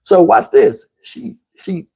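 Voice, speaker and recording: Husky-voiced man telling a casual comic story. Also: high voice, slow. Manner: animated, slangy, acts out dialogue. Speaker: storyteller, channel host. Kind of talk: casual comedic personal storytelling.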